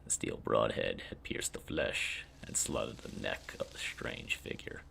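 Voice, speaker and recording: A man giving a dramatic scripted reading of a fantasy story as its author, in a deep, gravelly, often breathy voice. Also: expressive, a little slow, sharp tone, slight background noise, low-quality recording.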